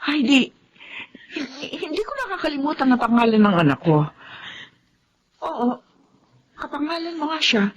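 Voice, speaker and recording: A woman's voice: high-pitched, big pitch swings, moderate pace, long pauses. A woman with a Filipino accent playing a kind, devoted middle-aged mother in a melodrama. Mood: distraught, pleading. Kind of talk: melodramatic Filipino drama acting. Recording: dramatic music behind.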